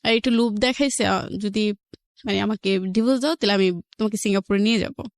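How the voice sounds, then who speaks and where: A woman with a Bangladeshi accent, an interview guest recounting her personal life in a halting way, repeating phrases for emphasis. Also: mid-range voice, dry recording, studio quality.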